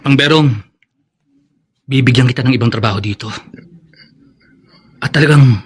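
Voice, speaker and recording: A man's voice: mid-range voice, normal speed, husky, lightly acted. A man playing a confident, persuasive schoolteacher with a shady sideline in a radio drama, with a Filipino accent.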